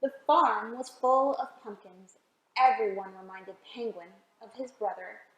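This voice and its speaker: A woman reading a picture book aloud for a children's storytime, expressive and often asking the listeners questions. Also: a little high, measured pace, roomy sound, dull audio. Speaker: library storytime reader.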